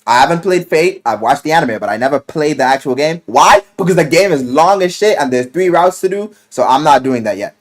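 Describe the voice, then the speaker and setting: A man telling a story casually in slang and swearing, as an animated video game reviewer who acts out what people say. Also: a little high, fairly quick, few pauses. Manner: animated storyteller, acting out quoted lines.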